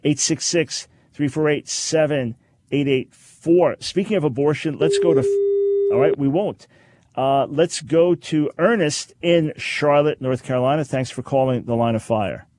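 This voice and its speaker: A man hosting a call-in radio show, explaining his views to callers in a measured way and repeating phrases for emphasis. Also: fairly high pitch, a little mellow, slight background noise. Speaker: radio talk show host. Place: radio studio. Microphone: studio microphone.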